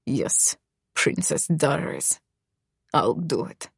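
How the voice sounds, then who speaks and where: A cold, smug young man, voiced by a woman narrator who growls his words low and quiet, dropping well below her own voice. He speaks slowly and with menace, in a dry, close recording. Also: expressive, gravelly, slightly rough, dry recording, full character voice. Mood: menacing.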